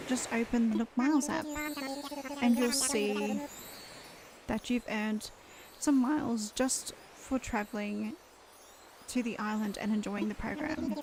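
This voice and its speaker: A woman's voice: fairly high pitch, darkish tone, a little hiss or hum. A woman reading aloud the lines of a boastful male raccoon shopkeeper from a video game.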